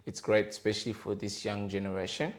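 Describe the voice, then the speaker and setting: A man in his thirties from the Democratic Republic of Congo, talking as a podcast guest. His voice sits fairly low, and his speech is hesitant and loose, full of fillers and self-corrections. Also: flat delivery, a little fast. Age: 30s. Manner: hesitant, many fillers and restarts. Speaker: podcast guest, gospel rapper. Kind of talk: casual interview conversation.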